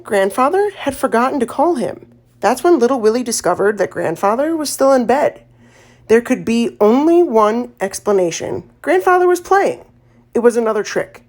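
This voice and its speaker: A woman reading a children's story aloud as an audiobook narrator, in an expressive storytelling manner. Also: on the high side.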